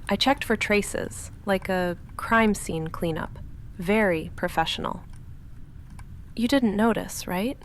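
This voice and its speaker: Woman playing a soft, creaky-voiced awkward vampire roommate. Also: a little hiss or hum. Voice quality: soft, breathy whispering mixed with low murmured talk. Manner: halting, deadpan, self-conscious rambling. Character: awkward, flustered young female goth vampire roommate, secretly affectionate. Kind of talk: intimate ASMR roleplay, scripted.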